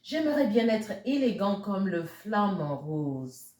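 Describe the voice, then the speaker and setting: A woman voicing a small, wistful picture-book chameleon in a wishful, drawn-out way. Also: mid-range voice, sing-song, a little mellow. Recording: roomy, echoey sound.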